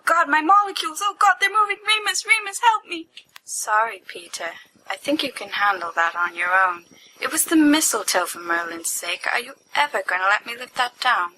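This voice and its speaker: Bright, high-voiced woman acting out fiction. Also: normal speed, low-quality recording. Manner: expressive, playful, acting out giddy young men. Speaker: amateur podfic reader. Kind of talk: animated dramatized fiction reading with character voices. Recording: home recording with faint background noise.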